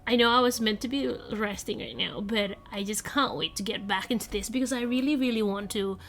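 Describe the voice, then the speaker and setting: A woman's voice: mid-range voice, fairly quick, fairly bright tone. A young woman with a congested voice, chatting casually off camera as she walks viewers through her planning template. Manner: chatty, rambling, giggly. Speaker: vlogger. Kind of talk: casual voice-over walkthrough. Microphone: voice-over, off camera.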